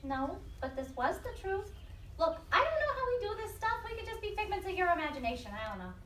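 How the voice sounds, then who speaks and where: A woman's voice: very high voice, big pitch swings, a little fast, fairly bright tone, full character voice. A woman playing a flippant, teasing female spirit in a live audio drama, talking casually. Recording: echoey live stage, distant, faint noise.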